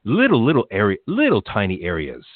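A man's voice: fairly low pitch, wildly swooping pitch, brisk, no room sound. A man interviewing a guest in a casual, rambling way, restarting his questions often. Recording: tinny phone-like line.

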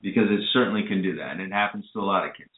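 A man's voice: deep voice, racing. Earnest male radio host on tinny line. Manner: earnest, advising, lecturing listeners. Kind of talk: call-in radio talk. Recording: echoey, phone-like lo-fi audio.

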